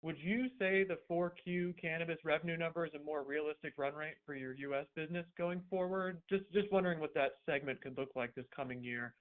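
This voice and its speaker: A man's voice: on the high side, fairly quick, few pauses. A man asking questions as a financial analyst on an earnings call. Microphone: conference call line. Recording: thin, phone-like call audio.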